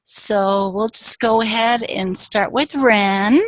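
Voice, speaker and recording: A woman from northern Wisconsin hosting an online author panel, talking in a halting way with many fillers. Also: medium pitch, low-quality recording. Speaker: panel host. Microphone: video call.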